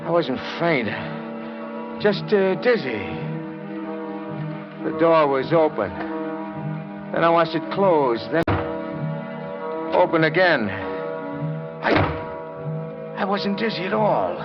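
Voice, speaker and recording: A hardboiled male killer tells his story in clipped, brooding phrases. The voice sits a little high for a man, in old radio crime style. The sound is dull and vintage, with heavy music. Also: animated, slow, long pauses. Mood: tense, haunted. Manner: terse, clipped, hardboiled delivery. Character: cold-blooded male reporter narrating his murder, brooding. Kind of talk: 1940s radio crime drama, first-person hardboiled narration. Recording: muffled old radio recording, loud music.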